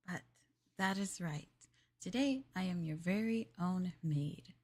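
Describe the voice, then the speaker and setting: Breathy, slow-talking woman in ASMR roleplay. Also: lowish voice. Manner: soft, partly whispered. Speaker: VTuber streamer.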